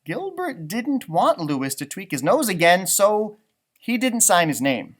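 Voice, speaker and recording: A man giving an expressive children's storybook read-aloud, adding exclamations and comments for young listeners. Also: sits high, a little slow. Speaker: storybook reader, teacher.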